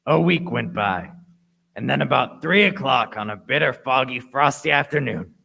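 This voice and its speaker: A man acts as the narrator of a radio play, recounting his boyhood adventure. His voice is bright and forceful, with noticeable fry at a middle pitch. The delivery is dramatic, scripted and intent. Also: moderate pace. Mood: suspenseful. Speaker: story narrator.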